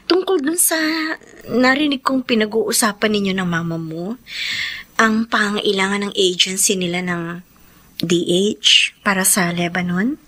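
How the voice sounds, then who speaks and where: A woman's voice: mid-range voice, measured pace, sharp tone. A young woman acting as a devoted, self-sacrificing elder sister in a Filipino radio drama, with earnest, emotional delivery. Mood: earnest, hopeful.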